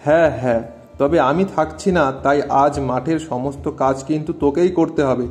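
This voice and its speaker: Man playing a homely village farmer, higher-voiced. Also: brisk, a little mellow, soft background music, close to an everyday voice. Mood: friendly. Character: weary married village farmer, good-natured but easily exasperated. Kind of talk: animated moral story dialogue.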